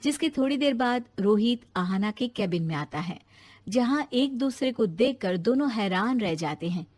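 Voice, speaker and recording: Female narrator for scripted moral tales, voice on the high side. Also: expressive, moderate pace, a little mellow.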